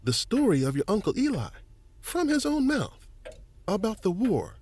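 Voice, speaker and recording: A folksy, middle-aged local history volunteer in a family audio drama. His voice sits high and swings with animated, avuncular explanation. Faint noise lies behind it. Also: moderate pace. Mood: intrigued, chatty. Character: friendly, folksy older male museum volunteer and newspaper editor.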